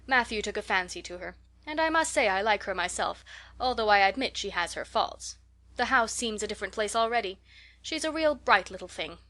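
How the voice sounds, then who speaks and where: A woman's voice: mid-range voice, lively intonation, brisk, bright, forceful tone. A woman doing a stern dry-spoken spinster. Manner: dry and curt.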